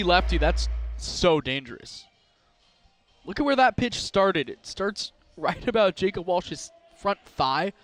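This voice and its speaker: High-voiced man breaking down baseball plays. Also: a bit bright, no room sound. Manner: analytical, breaks down each pitch and swing. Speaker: color commentator. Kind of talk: baseball color analysis.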